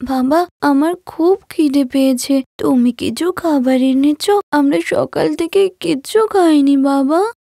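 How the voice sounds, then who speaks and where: Woman voicing a plaintive, high-pitched little village girl. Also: a little slow, vocal fry, dark tone, no room sound, clean studio sound. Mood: hungry, complaining. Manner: plaintive, pleading to father. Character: hungry, whining five-year-old village girl.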